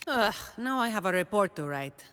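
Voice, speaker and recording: A woman's voice: mid-range voice, sing-song, normal speed, a bit bright, long reverb tail. A woman voicing a dutiful, weary young woman guardian in a video game, dry and composed with a confident edge. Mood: taunting, fierce. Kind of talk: video game character voice lines.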